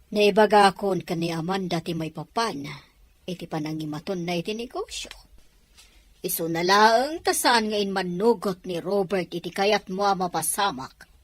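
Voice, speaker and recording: A woman's voice: mid-range voice, normal speed, natural voice. An actress playing a chatty, outspoken woman in a family audio drama. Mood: disappointed. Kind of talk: Ilocano audio drama acting.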